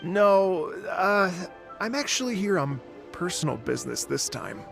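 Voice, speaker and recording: Game role: courteous, exacting musician, highish creaky voice, hesitant and formal. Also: male voice, very animated, unhurried, heavily acted. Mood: apologetic, hesitant. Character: polite, hesitant, exacting male musician and concert organizer. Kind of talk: video game cutscene voice acting. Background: faint game music.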